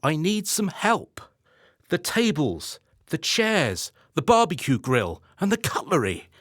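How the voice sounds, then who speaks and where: A woman's voice: sits low, huge pitch swings, slow, no room sound, clean studio sound, exaggerated voice. Woman playing a fussy husband, wildly swooping voice. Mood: fussy, impatient. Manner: fussy, hesitant, plaintive. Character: nervous, fussy, spineless middle-aged backbench politician husband. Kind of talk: radio play acting.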